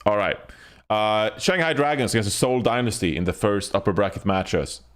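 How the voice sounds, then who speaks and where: A man's voice: fairly low pitch, normal speed, clean tone. A man hosting a casual esports panel, moderating and talking analytically through match predictions. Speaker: podcast host.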